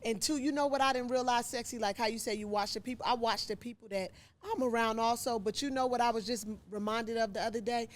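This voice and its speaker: A woman in her forties who hosts a podcast and talks in a relaxed, conversational way. She is supportive and reflective, often affirming what her guest says. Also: fairly high pitch, fast, hardly any pauses. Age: 40s. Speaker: podcast host. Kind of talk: casual conversation.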